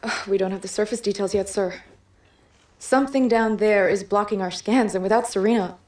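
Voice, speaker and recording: A woman playing an eager, analytical scientist aboard a starship in a video game, speaking briskly. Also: mid-range voice, a little mellow, natural voice. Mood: explaining. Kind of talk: cinematic video game acting.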